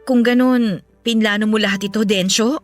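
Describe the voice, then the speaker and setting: An actress playing a hesitant, loyal Filipina widow in a Tagalog radio drama. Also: female voice, mid-range voice, fairly even intonation, moderate pace, faint music behind, lightly acted. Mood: disbelieving.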